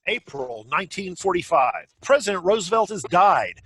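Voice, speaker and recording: A man's voice: sits high, big pitch swings, normal speed, a bit bright, breathy edge, exaggerated voice. A man playing a solemn 1940s radio announcer reading wartime reports in a play table read over a video call.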